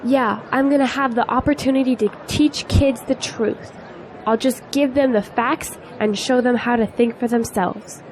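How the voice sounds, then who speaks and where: A woman's voice: a little high, steady tone, moderate pace, a little mellow, natural voice. An actress playing a spirited young female graduate in an audio drama, teasing yet earnest. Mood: wry, opinionated. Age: young adult. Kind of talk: scripted audio drama dialogue. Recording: faint noise, outdoor crowd ambience.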